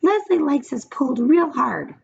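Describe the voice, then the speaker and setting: Woman as little talking pigtail for a children's tale: very high pitched, whiny, coaxing, swooping delivery. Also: expressive, normal speed, gravelly, highs cut off, a little hiss or hum, exaggerated voice. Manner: cajoling, whiny. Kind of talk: children's storybook character voice.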